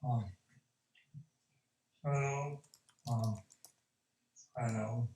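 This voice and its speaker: A man speaking hesitantly in broken, halting English as a participant on a group call. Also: mid-range voice, fairly even intonation, mellow. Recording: very echoey, distant call audio.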